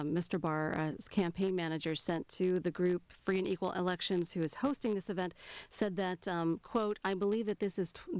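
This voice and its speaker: A woman's voice: on the low side, darkish tone, no room sound. An American woman presenting live news and taking viewer calls as host. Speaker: TV call-in show host.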